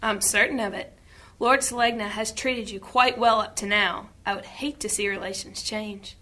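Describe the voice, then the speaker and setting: A woman playing a coolly menacing servant of a dark lord in a fantasy audio drama, formal and veiled in threat. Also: mid-range voice, fairly even intonation, normal speed, lightly acted. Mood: cool, threatening.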